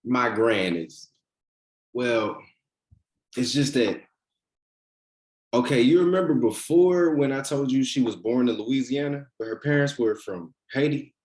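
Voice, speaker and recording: A man's voice: mid-range voice, lively intonation, unhurried, long pauses, a little mellow, close to an everyday voice, poor audio. A man reading a teasing, devoted boyfriend in a naturalistic drama table read, conversational and a little hesitant. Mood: sheepish, hesitant. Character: teasing, devoted boyfriend, nervous about his grandmother. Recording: virtual meeting recording.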